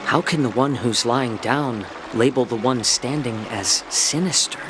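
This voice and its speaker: An actor playing a genial, sly male healer in a video game, with a polite, wordy, gently teasing manner. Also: medium pitch, measured pace, noisy background, lightly acted. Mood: protesting, persuasive. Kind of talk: game cutscene character acting.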